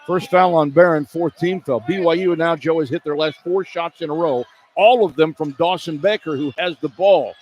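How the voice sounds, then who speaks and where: A man's voice: a little high, normal speed, few pauses, a little mellow, dull audio. An American man doing live radio play-by-play of a basketball game, speaking in clipped running phrases that follow the action. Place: courtside in an indoor arena. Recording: clear background noise, live arena broadcast.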